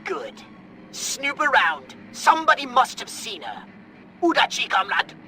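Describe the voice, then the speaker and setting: An actor playing a haughty, unflappable alien commander in a video game, pompous, theatrical and condescending. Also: male voice, very high voice, wildly swooping pitch, unhurried, bright, forceful tone, faint music behind, exaggerated voice. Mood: bossy. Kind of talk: video game cutscene acting.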